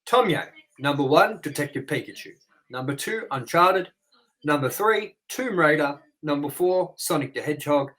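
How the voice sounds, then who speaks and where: A man's voice: fairly high pitch, lively intonation, normal speed, fairly bright tone, rough recording. A man co-hosting a movie review show, reading trivia and goofs from his notes in a casual way and swearing offhandedly.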